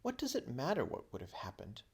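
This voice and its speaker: A man's voice: mid-range voice, wildly swooping pitch, a little fast, a little mellow, husky, pitched above the performer's own voice, full character voice. A man voicing a sensible, skeptical young girl from a children's storybook, prim and cross.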